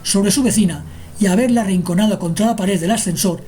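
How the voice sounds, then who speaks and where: Mellow female radio drama narrator. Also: medium pitch, a little fast. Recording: music behind the narration.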